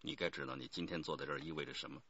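An actor playing a stern, authoritative police detective chief in a radio crime drama. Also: male voice, a bass voice, fairly even intonation, very fast, sharp tone, husky, lo-fi sound, exaggerated voice. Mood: stern, pressing. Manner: authoritative, pressing.

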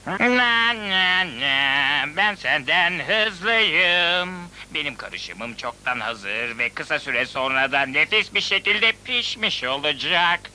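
A man's voice: a little high, sing-song, takes it slowly, sharp tone, heavily acted. A man voicing a scheming, boastful rival baker in a dubbed cartoon, theatrical and taunting, on an old video rip. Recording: faintly noisy old VCD rip.

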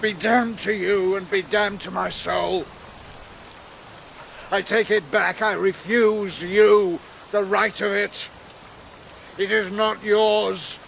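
An actor playing an old, embittered, defeated English king in a historical radio drama, proud and solemn in halting phrases. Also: high voice, fairly even intonation, creaky, fairly bright tone, slight background noise, full character voice. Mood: bitter, defiant. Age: older.

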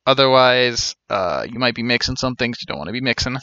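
A man in his thirties commentates casually on a game he is streaming. His voice is bright and forward, wry and joking, recorded close and dry with a slightly dull sound. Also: medium pitch, animated, fairly quick, no echo.

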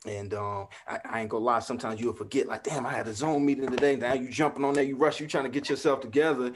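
Laid-back man chatting on a video call. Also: fairly low pitch. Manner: laid-back, talks freely with fillers and asides. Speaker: actor guest. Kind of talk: casual video call chat. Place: home room, indoors.

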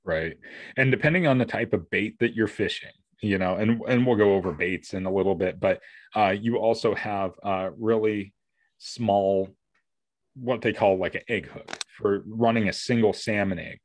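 A man's voice: on the low side, moderate pace, no echo. An American man co-hosting a casual podcast, explaining gear in a rambling, detailed way. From US Pacific Northwest. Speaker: fishing podcast co-host. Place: indoor room, on a video call.

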